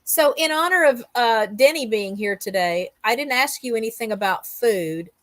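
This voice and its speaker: A woman's voice: fairly high pitch, animated, moderate pace, fairly bright tone, no room sound. A woman hosting a casual interview, chatty and enthusiastic as she puts questions to her guest. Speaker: interviewer, host.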